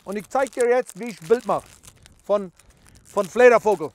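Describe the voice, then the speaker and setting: A man's voice: high-pitched, normal speed, a little mellow, no echo, natural voice. A man playing a boastful self-proclaimed bird photographer who speaks broken German mixed with English. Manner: boastful, stumbling over words. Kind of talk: parody how-to video.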